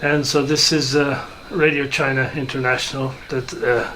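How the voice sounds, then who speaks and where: A man giving an informal, hesitant and unhurried demonstration of some hobby gear. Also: medium pitch, level tone, sharp tone, slight background noise, poor audio. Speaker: hobbyist video maker and shortwave listener.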